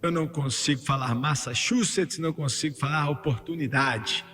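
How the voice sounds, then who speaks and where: High-voiced man preaching fervently in a hall. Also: normal speed. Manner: emphatic preaching that climbs to fervent, shouted peaks, with joking asides. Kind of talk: sermon, voiced over in translation. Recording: long hall reverb with faint music underneath.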